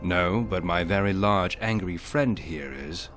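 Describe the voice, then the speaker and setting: An actor playing a steady, protective warrior hero in a video game, terse and direct. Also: male voice, sits low, measured pace. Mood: dry menace. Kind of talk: video game character dialogue. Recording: music behind the voice.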